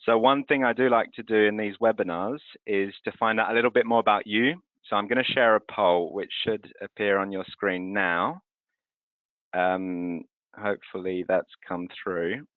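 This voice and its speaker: A man's voice: on the low side, fairly even intonation, normal speed, no echo. A man from France presenting a webinar on a video call, explaining things in a fluent, businesslike way. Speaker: webinar host, currency transfer representative. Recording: tinny video call audio.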